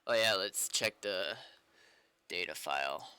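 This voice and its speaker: A young man with a very bright, crisp voice chats casually as a streamer. His delivery rambles and tends to trail off into mumbles. He sounds close and dry on the mic, recorded in a small room. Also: deep voice, lively intonation, a little slow, dry recording. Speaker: streamer and game developer. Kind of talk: casual livestream chat.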